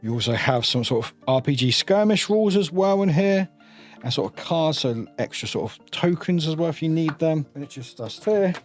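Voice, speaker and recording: A man's voice: a little high, expressive, moderate pace, soft background music. A man giving a casual, enthusiastic, rambling unboxing commentary as a review host.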